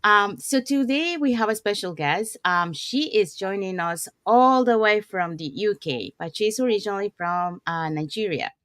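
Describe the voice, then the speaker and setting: A woman's voice: medium pitch, lively intonation, normal speed, few pauses, fairly bright tone, no room sound. Panama-born woman host, dry sound. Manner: friendly, with frequent "um" and "so". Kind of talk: hosting a live webinar show.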